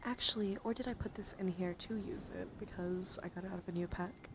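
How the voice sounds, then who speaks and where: A woman livestreamer doing playful ASMR, drifting between whispers and soft-spoken casual chat as she coaxes and addresses her viewers directly. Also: fairly low pitch, steady tone, a little fast, background noise. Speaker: livestreamer, VTuber.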